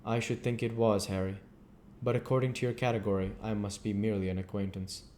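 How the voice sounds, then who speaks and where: A man voicing an earnest, devoted, brooding gentleman painter from a novel, with an intense and sincere manner. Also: on the low side, little pitch movement, quick pace, slight background noise, voice pushed down, close to an everyday voice. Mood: disapproving.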